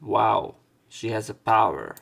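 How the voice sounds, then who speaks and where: A man's voice: fairly low pitch, expressive, takes it slowly, creaky, a bit bright. A man reading visual novel text aloud and breaking off for casual, sweary let's play commentary. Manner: casual, sweary interjections between read lines.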